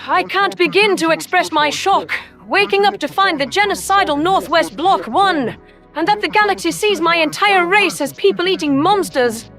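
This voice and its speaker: A woman voicing an ancient alien predator soldier in a sci-fi audio story, with a very high voice. The speech is smooth, formal and reproachful, with faint music beneath. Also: animated, normal speed, fairly bright tone, exaggerated voice. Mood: appalled. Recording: music under the voice.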